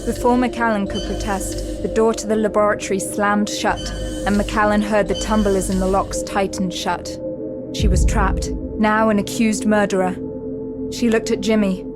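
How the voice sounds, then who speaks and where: A woman's voice: mid-range voice, level tone, normal speed, natural voice. A woman narrating a sci-fi audio drama in a grave, suspenseful storytelling style. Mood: ominous. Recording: prominent dramatic music under the voice.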